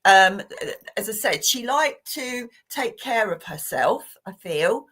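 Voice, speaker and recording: A woman giving live psychic readings to online viewers, talking gently and hesitantly with many fillers and restarts. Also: mid-range voice, expressive, slow pace, husky.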